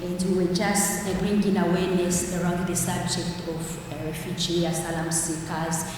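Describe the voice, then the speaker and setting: A woman whose first language is Swahili, speaking slowly and haltingly as she searches for words and restarts her phrases. She is heard from far off in a very echoey, large hall, with steady background noise behind her voice. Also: on the low side. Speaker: conference speaker. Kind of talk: personal testimony talk. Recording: echoey hall, distant mic, noisy.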